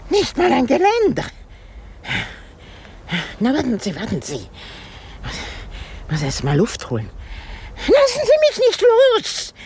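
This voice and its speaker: A woman's voice: high-pitched, wildly swooping pitch, takes it slowly, long pauses, a little mellow, slight background noise, full character voice. An actress playing an elderly, cantankerous, self-pitying woman in a radio drama, exclaiming and groaning with effort. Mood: straining, breathless.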